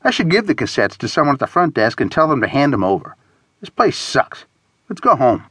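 A man's voice: medium pitch, very animated, a little fast, pushed and bright, husky, dry recording, voice pushed up, full character voice. A man voicing a grumpy, reluctant, sardonic traveler in audiobook dialogue. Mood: grumbling. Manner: grumbling, sardonic.

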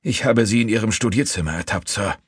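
A man's voice: on the low side, fast, slightly rough, dry recording, studio quality, voice pushed up. Man acting harsh, urgent accusing male servant. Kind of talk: audiobook character voice.

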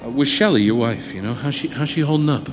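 An actor playing an earnest, excitable lab colleague in an audio drama, conversational with a stammering hesitance. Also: male voice, mid-range voice, quick pace, natural voice, low-quality recording. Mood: awkward sympathy. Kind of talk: live audio drama acting. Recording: live recording with faint ambient noise.

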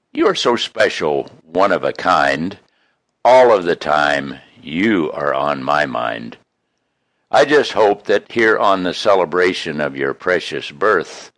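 A man reading his own poems in an expressive, folksy, homespun way, with casual introductions between them. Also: sits low, dry recording. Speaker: poet reading own work.

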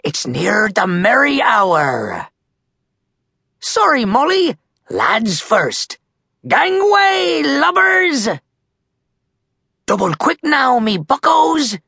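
A woman puts on a blustering storybook pirate captain with a thick stage pirate accent. The voice is rough and gravelly, leaping wildly up and down. The captain bosses and bellows with theatrical relish. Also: medium pitch, huge pitch swings, extremely slow, long pauses, a bit bright, no echo, studio quality, pitched above the performer's own voice, exaggerated voice. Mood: bossy, hearty. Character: blustering bloodthirsty boy pirate captain, loud and bossy. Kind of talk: children's storybook character voice.

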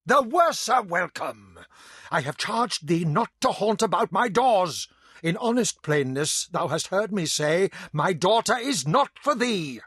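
Outraged senator dad, bright husky voice, slow and lively, dry. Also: male voice, high-pitched, no echo, full character voice. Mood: indignant. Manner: indignant, commanding. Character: indignant aging Venetian senator father. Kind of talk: dramatised audiobook acting.

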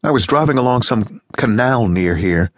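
A man voicing a cheerful, shrill, high-pitched American housewife who gushes and chatters gaily in an audiobook. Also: animated, moderate pace, dry recording, studio quality, close to an everyday voice. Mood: gushing. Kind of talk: audiobook reading.

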